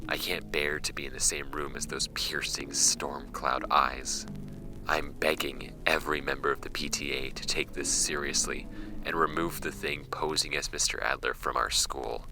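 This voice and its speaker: A man playing a skeptical archivist in an audio drama, reading case files aloud in a creaky voice. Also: level tone, moderate pace. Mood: hushed dread. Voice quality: creaky, very deep. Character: dry, skeptical male archivist recording case notes. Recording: music bed under the voice.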